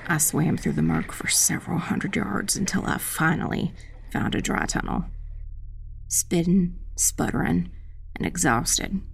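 A woman playing a determined witch who narrates her own dark fantasy audiobook tale in a measured, dramatic, husky and creaky voice. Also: lowish voice, full character voice. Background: music.

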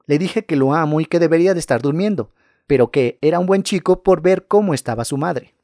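A man reading scary first-person stories aloud in a calm, measured narration. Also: a little high, dry recording, studio quality.

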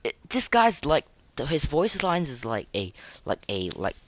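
A podcast host chatting casually and rating things, rambling and halting, often repeating words and phrases. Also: mid-range voice, huge pitch swings, fairly bright tone, a little hiss or hum. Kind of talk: casual chat rating game voice lines.

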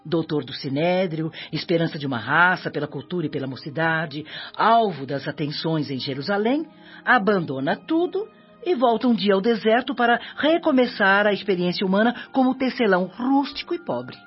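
A woman's voice: fairly low pitch, expressive, normal speed, close to an everyday voice. An actress playing a kindly, devout elderly mother spirit in a Brazilian radio drama, speaking Portuguese in an earnest, maternal, moralizing way. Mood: earnest, exhorting. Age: older. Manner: maternal, earnest, moralizing with gentle tag questions. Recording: dull audio with background music.

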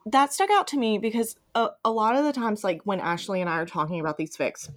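A woman hosting a podcast, chatting casually and enthusiastically about fan fiction, swearing freely. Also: lowish voice. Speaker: podcast host.

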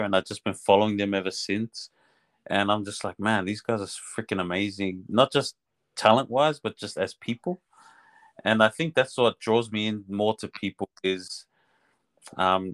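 A man's voice: lowish voice, a bit bright. A man from Australia with a lightly New Zealand-tinged accent, chatting casually as a podcast guest in a laid-back, slangy way. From Australia (Melbourne). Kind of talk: casual chat.

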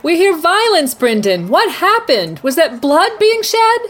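A woman playing an excitable news anchor covering a war live in a radio play. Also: very high-pitched, measured pace, a little hiss or hum, voice pushed up, full character voice. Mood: alarmed.

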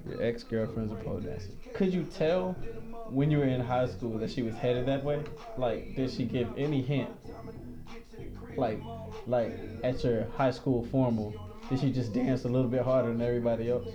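A man's voice: medium pitch, moderate pace, highs cut off. Young guy hosting his podcast, easygoing and laughing a lot, trips over his words, music going in the background. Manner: loose, laughing, stumbles and restarts often. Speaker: podcast host. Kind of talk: casual chat.

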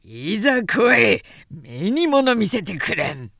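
A woman's voice: mid-range voice, sing-song, takes it slowly, gravelly, a bit bright, no room sound, clean studio sound, heavily acted. A woman voicing a boisterous, confident male warrior general with emphatic, old-fashioned speech in an audiobook reading. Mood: fierce.

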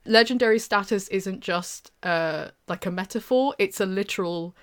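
A woman's voice: mid-range voice, normal speed, fairly bright tone, clear, resonant tone. A young British woman chatting casually with a co-host, hesitant, with many fillers and restarts. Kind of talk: casual chat.

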